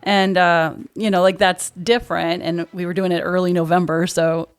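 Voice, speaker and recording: A woman's voice: fairly low pitch, flat delivery, moderate pace, smooth. An American woman chatting informally as a theatre director guest on a casual podcast, often dropping in "like" as she explains.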